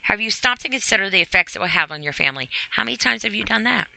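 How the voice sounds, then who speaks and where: Bright, forceful podcast host, blunt and informal. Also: medium pitch, lively intonation, fast talker, creaky, rough recording. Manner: blunt, informal, asks listener rhetorical questions; emphatic repetition of phrases. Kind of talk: reading a self-help list with informal commentary.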